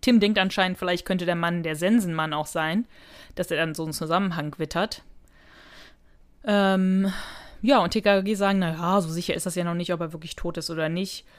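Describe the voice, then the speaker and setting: A woman's voice: lowish voice, fairly even intonation, a little fast. A woman co-hosting a podcast in casual conversation, chatty and colloquial, often giggly as she talks. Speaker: podcast co-host. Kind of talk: casual chat and plot retelling.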